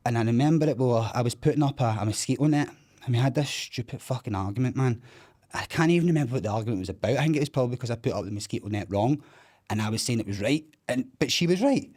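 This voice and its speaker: A Scottish man in his thirties, a self-described loudmouth, bluntly and candidly telling his own story with plenty of swearing in casual interview talk. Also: mid-range voice, expressive, fast. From Scotland.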